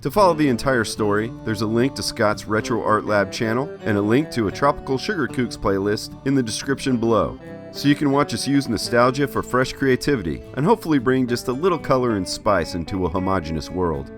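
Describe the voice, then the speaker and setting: A man's voice: mid-range voice, lively intonation, a little fast, over music. A middle-aged man hosting an art vlog with scripted narration. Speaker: host, artist.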